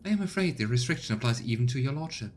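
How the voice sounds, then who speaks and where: A man voicing a formal, deferential ship's officer in a video game, measured and courteous. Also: mid-range voice, lively intonation, darkish tone, a little hiss or hum, natural voice. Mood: formal, regretful. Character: formal, deferential officer advising a starship lord.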